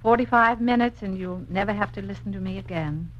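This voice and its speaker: A woman's voice: mid-range voice, a little fast, lightly acted. A woman playing a playful, scheming wife in theatrical, chatty 1950s radio comedy style. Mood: coy, wistful. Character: scheming playful wife, posing as brash lowbrow nightclub singer. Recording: muffled noisy 1950s radio broadcast.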